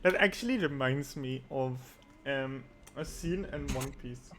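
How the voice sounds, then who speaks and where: A man hosting a casual online interview show, easygoing and quick to chuckle. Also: mid-range voice, fairly bright tone, slight background noise. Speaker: podcast host. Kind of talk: casual chat.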